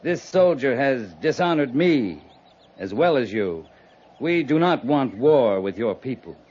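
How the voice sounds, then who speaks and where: Earnest, reasoning army captain for a 1950s radio western: unhurried, medium-pitched, firm, dull vintage sound. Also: male voice, very animated, darkish tone. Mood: firm, measured. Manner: measured, deliberate, earnest. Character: steady, reasoning US cavalry captain negotiating for peace. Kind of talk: 1950s radio drama acting.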